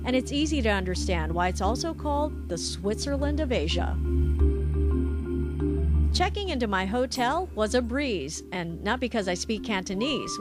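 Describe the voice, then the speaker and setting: A woman host from Hong Kong narrates a travel show with a bright, upbeat, conversational delivery. Her voice sits a little high. A clear music bed plays under her voice-over.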